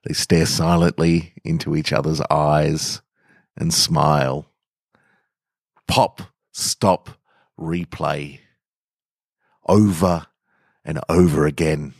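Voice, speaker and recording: A man hosting a podcast and reading literary stories in a measured, deliberate way. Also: extremely low pitch, dry recording, clean studio sound. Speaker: podcast host and story reader. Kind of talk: literary story narration and podcast outro.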